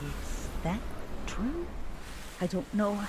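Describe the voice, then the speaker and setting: A woman's voice: mid-range voice, sing-song, slow, noisy background. An actress as an urgent, protective magician mother. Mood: tense, defiant. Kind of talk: audio drama acting.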